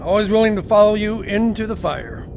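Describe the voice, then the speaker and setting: An actor playing a wry, nervous, philosophical ship's doctor in an audio drama, with a musing way of talking. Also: male voice, fairly quick, vocal fry. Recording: steady engine rumble behind the voice.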